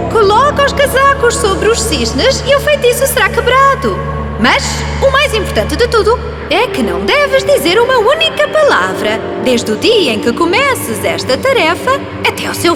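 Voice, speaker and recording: A wise cartoon fairy with a European Portuguese accent, solemn and guiding. The high voice rises and dips widely over music. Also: female voice, full character voice. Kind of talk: children's cartoon fairy tale acting.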